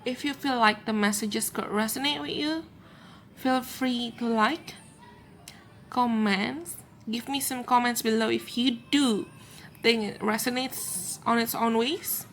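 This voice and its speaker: Gentle woman card reader. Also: on the high side. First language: Indonesian. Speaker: tarot and oracle card reader. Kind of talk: channeled card reading for viewers.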